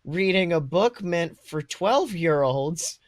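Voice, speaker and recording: A young man in his twenties with a fairly high voice, recorded dry and close. He talks casually as a podcast co-host, swearing freely and telling stories with irreverent emphasis. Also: expressive, slow, no room sound. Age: 20s. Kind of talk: casual chat, animated storytelling.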